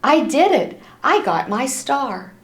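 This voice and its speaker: A woman's voice: high-pitched, very animated, takes it slowly, voice pushed up, full character voice. Woman as earnest young schoolgirl, echoey room. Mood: excited, proud. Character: young schoolgirl, shy but earnest, storybook narrator-heroine. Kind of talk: children's storybook read-aloud.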